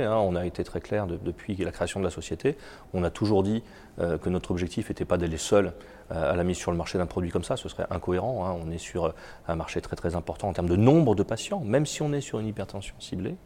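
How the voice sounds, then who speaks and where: Gravelly deep-voiced man with a cold. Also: dark tone. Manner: halting, many repeated words and fillers. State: has a cold. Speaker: biotech company executive. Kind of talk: business interview answers.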